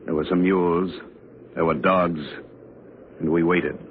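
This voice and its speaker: Low-voiced pioneer man, sombre, weary, measured, radio drama, muffled old recording, loud noise. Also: little pitch movement. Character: grave, weary pioneer man keeping a snowbound diary. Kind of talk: 1940s-50s radio drama acting and narration.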